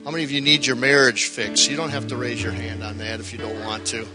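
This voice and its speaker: A middle-aged man preaching a sermon, folksy and joking at first, then building to shouted fervor. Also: mid-range voice, level tone, quick pace, music in the background.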